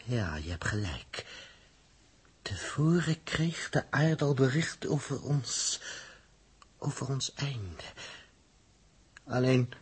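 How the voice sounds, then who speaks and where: An actor playing a serious, protective spaceship electronics expert with a husky voice in a 1960s radio drama. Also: male voice, mid-range voice, animated, takes it slowly, long pauses, heavily acted. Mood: grave, puzzled.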